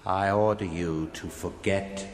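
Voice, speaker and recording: Spectral holy hermit, very low pitch, long reverb, stern, solemn, commanding, radio drama. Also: male voice, steady tone, slight background noise. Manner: slow, grave, admonishing.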